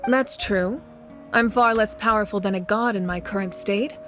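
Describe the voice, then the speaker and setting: A woman acts a female warrior leader in a dramatic video game. She is composed and caring, speaking with measured authority at a middle pitch. Music plays clearly behind her earnest, resolute delivery. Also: a bit bright, close to an everyday voice. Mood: steadfast.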